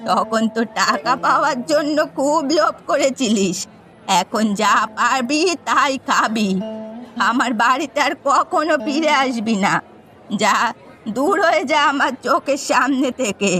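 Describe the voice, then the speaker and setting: High-pitched stern old grandmother. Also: unhurried, fairly bright tone, soft background music. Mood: angry scolding. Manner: scolding, reproachful. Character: stern elderly grandmother who runs a meat shop. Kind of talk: Bengali fairy-tale cartoon dubbing.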